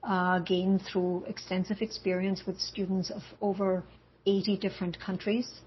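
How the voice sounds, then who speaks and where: A woman from Germany whose first language is German gives a measured talk as a university English instructor, pausing often with um and uh. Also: mid-range voice, flat delivery, a little hiss or hum. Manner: measured, with frequent um and uh pauses. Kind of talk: prepared talk. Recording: dull lo-fi audio.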